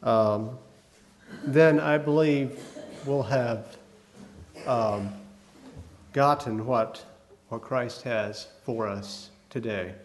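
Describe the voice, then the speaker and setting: A man preaching a sermon, hoarse from a cold, talking in a folksy, halting way with many fillers. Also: medium pitch, slight background noise.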